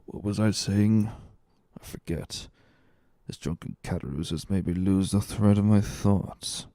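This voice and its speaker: A man voicing a cunning, smooth-talking, scornful schemer with a measured, condescending manner in a dramatic reading. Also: on the low side, fairly even intonation, dark tone, dry recording, clean studio sound. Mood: calculating.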